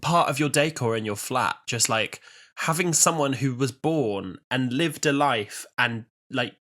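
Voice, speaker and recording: A man's voice: mid-range voice, a little slow, fairly bright tone. A man in his twenties co-hosting a podcast in casual, playful chat, a little sniffly with a cold. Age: 20s. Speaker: podcast co-host.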